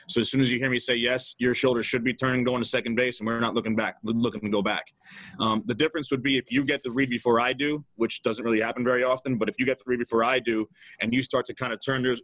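Fast-talking coach on a video call. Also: male voice, medium pitch, a bit bright, no room sound, low-quality recording. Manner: fast, emphatic, restarts often. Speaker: baseball coach. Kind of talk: video call panel discussion. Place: indoor office with ball caps on wall. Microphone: video call webcam.

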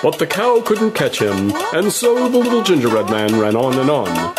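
A woman's voice: extremely low pitch, big pitch swings, normal speed. A woman narrating a children's puppet show as a storyteller, reading from a script over music. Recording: music under the voice.

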